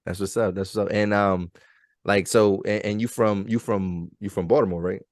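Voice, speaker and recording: A man in his thirties with a somewhat low voice, recorded fairly dry. He hosts in a loose, conversational style. His speech is slangy and affirming, full of little check-ins with the person he is talking to. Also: fairly even intonation, normal speed, no echo. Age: 30s. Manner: slangy, affirming, repeats "you know what I mean". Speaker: podcast host. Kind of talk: casual interview chat.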